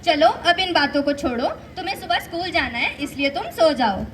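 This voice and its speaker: A woman's voice: sits high, animated, normal speed. A female performer playing a patient, instructive mother in a school stage play, reciting explanations. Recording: live stage, faint background noise.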